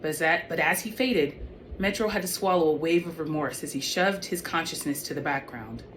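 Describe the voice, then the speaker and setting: A woman with a fairly low voice reads as an author presenting her own fiction. She speaks in an audiobook style, and there is some faint noise in the recording. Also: fairly quick, a bit bright. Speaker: author reading own book. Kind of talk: audiobook-style reading of young adult fiction. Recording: clearly noisy background.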